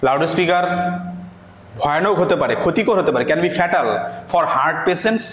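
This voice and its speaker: A man with a Bengali accent teaching English writing, dictating deliberately word by word and explaining as he goes. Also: a little high, lively intonation, a bit bright. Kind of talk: slow dictation lesson with translation and explanation. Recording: echoey room with faint background noise.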